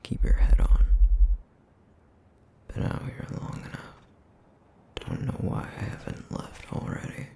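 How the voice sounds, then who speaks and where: A man voicing a brooding, guilt-ridden, vampire-like essence eater in an ASMR roleplay drama, terse and bitter, with a breathy, gravelly near-whisper. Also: unhurried, pauses often, mellow, close to an everyday voice. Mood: brooding, guarded menace.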